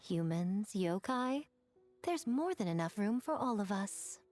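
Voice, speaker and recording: A woman's voice: medium pitch, sing-song, a little slow, darkish tone, faint music behind. An actress playing a gracious, poised female festival official, making formal announcer-like remarks in scripted game dialogue. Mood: formal, reassuring.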